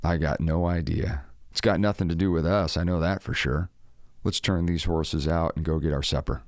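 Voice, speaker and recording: Low-voiced, blunt ranch hand. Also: male voice, steady tone, moderate pace, no echo, studio quality. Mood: wry, suspicious. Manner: blunt, grumbling, suspicious. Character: loyal, plain-spoken, skeptical male ranch hand. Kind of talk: full-cast audio drama acting.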